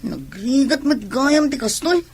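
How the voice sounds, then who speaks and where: Woman acting as sullen tired pregnant young wife, ilocano radio drama, kinda high husky voice, bitter. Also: sing-song, a little slow, darkish tone, heavily acted. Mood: bitter, complaining.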